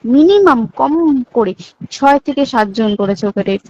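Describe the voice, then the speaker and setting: A woman's voice: a little high, expressive, a little slow, darkish tone. An Indian Bengali woman recounting things emphatically in a private phone call, repeating points and checking that the listener follows. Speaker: hospital staff member. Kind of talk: private phone conversation, recounting insider details. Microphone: phone call recording. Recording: dull lo-fi recorded phone call.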